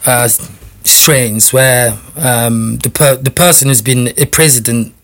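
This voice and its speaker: A man in his forties who grew up between France and the Republic of Congo, a guest in a radio studio, speaking hesitantly with many pauses and fillers. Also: medium pitch, steady tone, a bit bright. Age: 40s. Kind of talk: casual interview talk.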